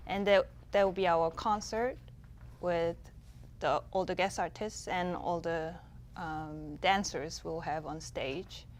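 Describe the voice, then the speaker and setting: Woman from a music festival answering questions, lowish voice, measured and a bit hesitant. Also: steady tone, slight background noise. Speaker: festival executive director and musician. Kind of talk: answering in an interview.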